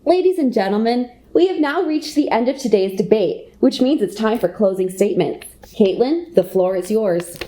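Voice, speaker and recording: Student debate moderator, played by a young woman: formal, orderly, soft-toned, radio comedy. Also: fairly high pitch, lively intonation, moderate pace, hardly any pauses, lightly acted. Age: young adult. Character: formal schoolgirl moderator of a class election debate. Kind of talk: comic radio play acting.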